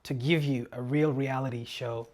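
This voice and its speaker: A man, a filmmaker speaking in a measured, reflective way about his community. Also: mid-range voice, fairly even intonation, darkish tone.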